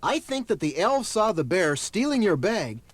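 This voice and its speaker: A man's voice: high-pitched, sing-song, moderate pace, dry recording. An actor playing an earnest, decisive young male leader of a mystery gang in a children's cartoon audio drama. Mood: take-charge. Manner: decisive, urgent, clearly projected. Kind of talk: 1970s children's record cartoon acting. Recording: noisy old vinyl album transfer.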